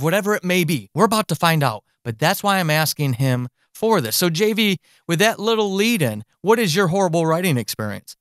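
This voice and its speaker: A man's voice: on the high side, a bit bright, no room sound, clean studio sound. A man hosting a casual podcast interview, speaking directly to listeners in an encouraging way. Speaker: podcast host and fiction author.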